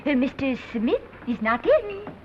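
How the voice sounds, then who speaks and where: A woman's voice: high-pitched, very animated, a little slow. A woman playing a flustered telephone answerer in a 1930s Hollywood film. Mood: hesitant. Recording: dull, very noisy old film soundtrack.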